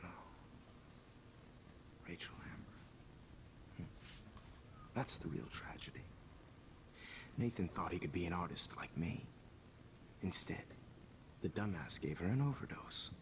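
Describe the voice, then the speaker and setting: An actor playing a smug, menacing teacher and photographer villain in a video game, with a calm, condescending, gloating delivery. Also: male voice, sits low, a little slow, pauses often, whispered. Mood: cold, condescending. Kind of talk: dramatic video game acting.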